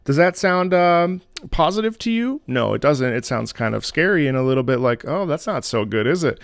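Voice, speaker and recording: A man's voice: a little high, expressive, moderate pace, creaky, dry recording. A man hosting a music reaction video, an opera singer who talks enthusiastically and sings phrases along with the music. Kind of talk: casual music analysis.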